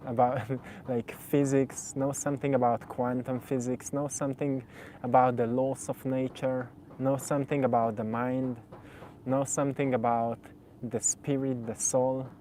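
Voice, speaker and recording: Young man in his twenties, Slovenian first language, warm mellow tone, gentle reflective monologue with repeated phrases. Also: medium pitch, level tone, measured pace, slight background noise. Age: 20s. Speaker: spiritual video host.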